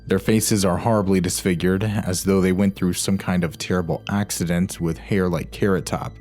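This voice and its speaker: Casting: male narrator, lower register, scripted documentary-style read over a light music bed. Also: steady tone, moderate pace, a little mellow. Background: background music.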